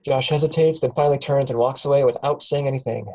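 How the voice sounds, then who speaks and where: A man's voice: mid-range voice, steady tone, fast, darkish tone, rough recording. A man reading scripted stage directions aloud as the narrator at a table read.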